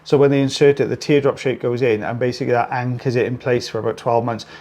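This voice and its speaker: Brief: British male clinician, mid pitch, easygoing explainer, lots of ums and uhs. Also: quick pace. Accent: British English. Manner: relaxed, chatty explaining with fillers. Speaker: ear wax removal clinician. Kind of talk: explaining a procedure on camera.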